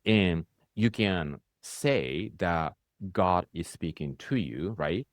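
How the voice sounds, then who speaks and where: Deep-voiced man with a Japanese accent. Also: animated, takes it slowly, dry recording, clean studio sound. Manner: speaks in rising bursts, often ends with "right?". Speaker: spiritual coach hosting a conversation. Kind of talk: reflective conversational teaching.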